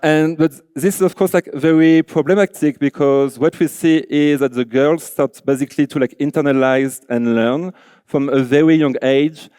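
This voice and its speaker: A man's voice: fairly high pitch, fairly even intonation, moderate pace, warm tone, smooth, no echo. A man from France with a French accent, giving an earnest conference talk as a designer, filling his sentences with "like" and "you know". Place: large auditorium.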